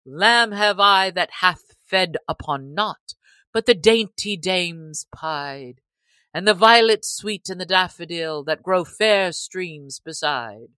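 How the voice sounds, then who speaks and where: A woman's voice: lowish voice, expressive, takes it slowly, sharp tone, no room sound, exaggerated voice. A woman acts out a merry young outlaw passing as a butcher, bold and hearty. He speaks old-fashioned storybook English in lusty, swinging phrases, calling out like a market hawker. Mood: merry, lilting. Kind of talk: storybook character voice in archaic English.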